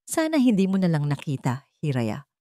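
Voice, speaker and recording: A woman's voice: deep voice, huge pitch swings, normal speed, dark tone, no echo, clean studio sound. A woman voicing a cold, contemptuous, controlling husband in a dramatic story, with heavy, scornful delivery. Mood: cold, flat. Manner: heavy, emotionless, scornful words.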